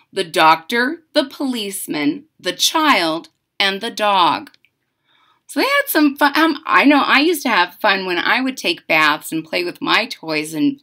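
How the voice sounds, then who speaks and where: A woman's voice: medium pitch, sharp tone. A woman in her thirties or forties reading a children's picture book aloud, with dramatic emphasis and chatty asides. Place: room at home.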